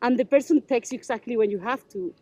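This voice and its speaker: A woman's voice: fairly high pitch, fast, dark tone. A woman, chatting animatedly while sightseeing at outdoor ruins, gesturing as she talks. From Spain. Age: 20s. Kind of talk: casual chat while sightseeing. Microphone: wide-angle action camera held nearby.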